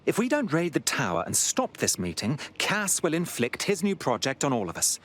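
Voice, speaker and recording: A man's voice: a little high, huge pitch swings, normal speed, fairly bright tone, exaggerated voice. An actor playing a determined male resistance operative in a video game, with a dry, understated manner. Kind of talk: scripted video game dialogue.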